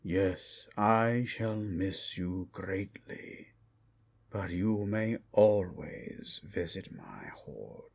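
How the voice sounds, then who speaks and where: Slow, deep, gravelly red dragon. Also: male voice, lively intonation, a little mellow, heavily acted. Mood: solemn, tender. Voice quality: gravelly, breathy, husky. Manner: slow, grandiose, formal dramatic delivery. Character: ancient proud red dragon, menacing yet tender protector. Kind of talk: improvised fantasy ASMR roleplay.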